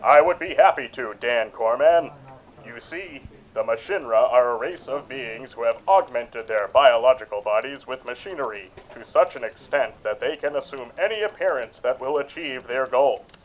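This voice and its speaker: A man's voice: mid-range voice, lively intonation, highs cut off, lightly acted. A man playing a polite alien starfish who speaks formally and carefully in a radio drama. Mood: courteous, grave. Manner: courteous, formal, measured explaining. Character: polite alien starfish visitor warning of invaders.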